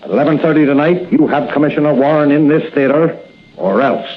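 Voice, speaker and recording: An actor in a 1940s radio drama playing a gruff crime boss who gives menacing orders. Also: male voice, fairly high pitch, expressive, fairly quick, natural voice. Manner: menacing, clipped commands. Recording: tinny old radio broadcast, faint hiss.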